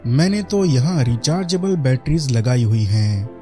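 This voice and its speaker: A man's voice: medium pitch, expressive, moderate pace, mellow, faint music behind. A man with an Indian accent giving a product demonstration voice-over as a reviewer.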